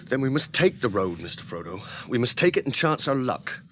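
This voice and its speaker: A loyal, down-to-earth hobbit servant with a bright, homely voice. He speaks in an earnest, plain way. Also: mid-range voice, big pitch swings, brisk, a little hiss or hum. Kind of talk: 1980s radio drama acting.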